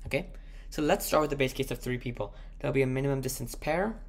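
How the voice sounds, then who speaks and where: Croaky-voiced man thinking aloud. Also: medium pitch. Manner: thinks aloud, slows down while typing. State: sore throat, croaky. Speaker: math tutor. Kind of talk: thinking aloud while solving a problem. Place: at a computer, screen recording.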